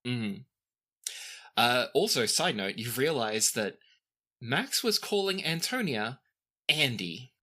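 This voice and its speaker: A man's voice: medium pitch, sing-song, takes it slowly, pauses often, bright, forceful tone. A man running a tabletop role-playing game, narrating scenes to the players as game master in the second person.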